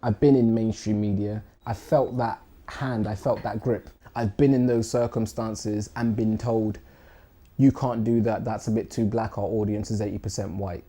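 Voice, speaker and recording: A man's voice: fairly low pitch, steady tone, normal speed, dark tone. A man who works in transmedia, speaking earnestly and passionately in a documentary interview. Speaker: transmedia producer.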